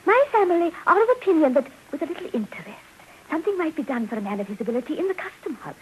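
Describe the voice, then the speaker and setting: A woman's voice: sits high, very animated, rapid-fire, low-quality recording. A woman playing a prim, melodramatic, devoted middle-aged wife in a theatrical 1950s radio drama. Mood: genteel, proud. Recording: hissy old radio broadcast.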